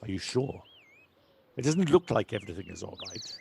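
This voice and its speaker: A man in an audio play acting a kindly host with gentle, attentive phrasing and a gravelly voice. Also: on the low side, sing-song, moderate pace. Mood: helpful, concerned. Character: kindly, solicitous male host seeing a guest off. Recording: home recording, faint noise, birdsong.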